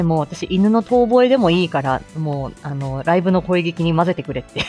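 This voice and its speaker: A woman's voice: on the low side, darkish tone. A woman hosting a casual morning livestream, chatty, flustered and giggly. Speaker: livestream host. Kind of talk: casual morning live chat.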